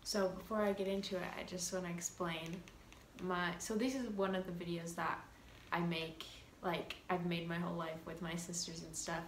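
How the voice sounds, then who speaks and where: A woman's voice: lowish voice, flat delivery, normal speed, roomy sound, a little hiss or hum. A young woman in her teens talking casually to a laptop camera in a small bedroom, chatty with lots of fillers. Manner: chatty, giggly, lots of likes and ums. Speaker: vlogger. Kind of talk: casual vlog talk to camera.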